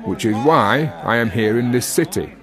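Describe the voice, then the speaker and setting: An actor playing an earnest working-class Victorian Freemason in a radio drama, holding forth in high-flown, archaic, declamatory phrases. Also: male voice, expressive, normal speed, crowd noise, lightly acted. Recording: music under the voice.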